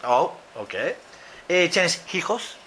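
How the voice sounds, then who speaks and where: Man, slow deliberate questions, lively pitch, faint hiss behind. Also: low-quality recording. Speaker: interviewer. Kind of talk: language-practice interview.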